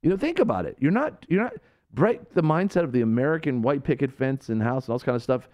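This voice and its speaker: A male radio preacher with a very lively, rising-and-falling voice. He drives his points with fervent emphasis, then drops into slow, hushed phrases. His pitch sits in the middle range for a man. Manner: fervent, emphatic, swings between loud and hushed. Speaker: radio show host and preacher.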